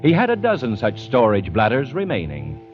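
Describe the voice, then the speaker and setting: A man's voice: mid-range voice, wildly swooping pitch, moderate pace. A man giving dramatic scripted narration in an old-time radio drama. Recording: muffled vintage radio recording. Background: music.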